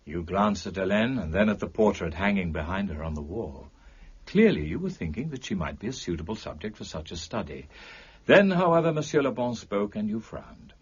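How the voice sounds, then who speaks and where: An actor playing a cool, precise gentleman detective in a period radio drama, measured, formal and dryly teasing. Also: fairly low pitch, sing-song, breathy edge, dull audio, heavily acted. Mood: coolly analytical. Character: cool, precise gentleman amateur detective, wry and self-assured.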